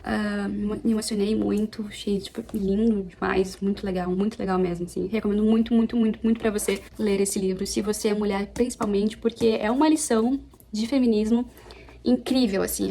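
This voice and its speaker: A young woman from Brazil, chatting casually at home as she reviews a book, animated and often correcting herself. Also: mid-range voice, vocal fry, a little hiss or hum. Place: apartment at home.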